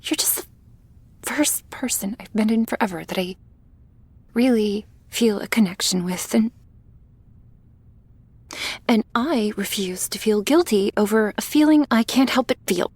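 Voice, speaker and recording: A woman playing a shy, flustered, affectionate young vampire in an intimate ASMR roleplay, speaking softly and haltingly, often near a whisper. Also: medium pitch, no echo. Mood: embarrassed, defensive. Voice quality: soft, breathy, often whispery. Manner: bashful, halting, nervously giggly.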